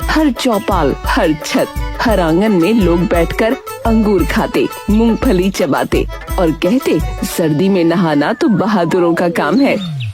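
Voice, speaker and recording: A woman's voice: lowish voice, animated, takes it slowly. A woman reading scripted story narration as the narrator of a village tale. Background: music.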